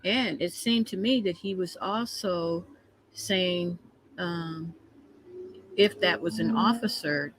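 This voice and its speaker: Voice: mid-range voice, slow pace, noisy background. A co-host giving conversational reaction commentary, speaking emphatically and repeating phrases for weight.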